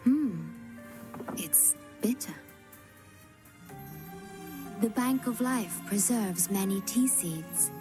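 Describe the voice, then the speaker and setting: Soft breathy serene young guardian, unhurried. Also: female voice, medium pitch, animated. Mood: gentle, attentive. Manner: measured, tender, slightly formal phrasing. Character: gentle, devoted young female guardian, serene and wistful. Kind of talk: English game dub voice acting. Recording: music playing behind the voice. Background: background music.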